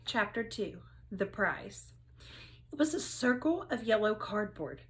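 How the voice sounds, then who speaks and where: A woman teacher reading a children's story aloud, expressive and with dramatic emphasis. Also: medium pitch, unhurried.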